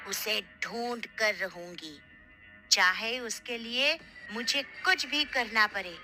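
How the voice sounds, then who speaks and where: A woman voicing a wicked, greedy, power-hungry cartoon villainess, with a menacing, gloating delivery. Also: a little high. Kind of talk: cartoon fairy-tale villain acting.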